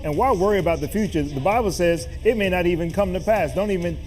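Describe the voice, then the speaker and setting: Upbeat preaching TV host, music behind. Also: male voice, high-pitched, fast talker. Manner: energetic, laughs often, preachy encouragement. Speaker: TV show host.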